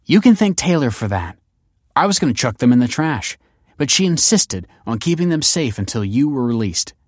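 A man's voice: mid-range voice, big pitch swings, normal speed, dry recording, clean studio sound, pitched above the performer's own voice. A man voicing an exasperated, scornful adult daughter in an audiobook story, sarcastic and impatient.

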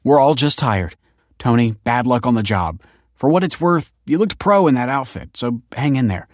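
A man's voice: mid-range voice, expressive, moderate pace, dry recording, clean studio sound, pitched above the performer's own voice, natural voice. A man voicing an earnest, easygoing young man in a narrated story, eager and conciliatory. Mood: placating.

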